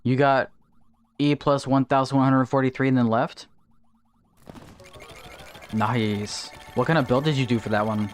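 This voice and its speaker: A man streaming a game live from home, chatting casually, answering viewers and thinking out loud about his strategy. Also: mid-range voice, fairly even intonation, a little slow, pauses often, faint music behind. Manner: chatty, answers viewer chat while playing, thinks aloud. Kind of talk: casual livestream gameplay chat. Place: home, in Texas.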